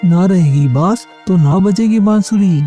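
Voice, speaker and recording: A performer voicing a sly, flattering male henchman who coaxes with wicked schemes in a cartoon moral story. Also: mid-range voice, measured pace, soft background music, close to an everyday voice. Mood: sly, coaxing. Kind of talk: Hindi animated moral story dialogue.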